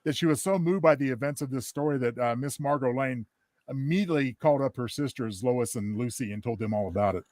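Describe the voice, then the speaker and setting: A man's voice: medium pitch, fast, darkish tone, no echo. A man chatting casually as a podcast host, with a wordy, mock-formal way of phrasing things. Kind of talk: casual chat.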